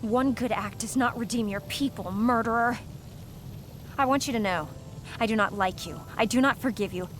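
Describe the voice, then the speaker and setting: A woman's voice: fairly high pitch, normal speed, a bit bright, slight background noise, close to an everyday voice. An actress playing a bitter, defiant young woman in a video game, speaking with intense, accusing delivery. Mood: cold defiance. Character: defiant young woman, bitter, fearful former prisoner of beasts. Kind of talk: dramatic video game acting.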